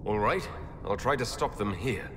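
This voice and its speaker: A man's voice: fairly low pitch, animated, normal speed, close to an everyday voice. An actor playing the human male Inquisitor in a video game, speaking in a direct, questioning, resolute way. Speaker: player character. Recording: game audio with long reverb and loud ambient noise.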